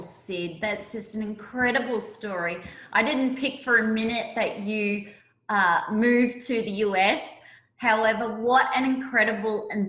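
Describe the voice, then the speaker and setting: A woman's voice: medium pitch, fairly bright tone. A woman hosting a podcast interview in an effusive, emphatic way, recorded in a roomy, echoey space. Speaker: podcast host.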